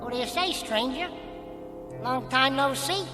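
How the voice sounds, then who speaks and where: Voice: sits high, lively intonation, measured pace, long reverb tail, over music. An actor in a radio play voicing a sinister living ventriloquist's dummy with a mocking, wisecracking manner. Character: taunting sinister ventriloquist dummy come to life.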